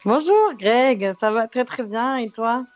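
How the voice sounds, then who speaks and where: A woman's voice: on the high side, expressive, slow, darkish tone, soft background music. A woman in her twenties from France, with a French accent lightly tinged with Québécois, chatting casually and good-humoredly as a podcast guest. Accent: French from France with slight Québécois tinge. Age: 20s. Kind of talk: casual chat, telling anecdotes.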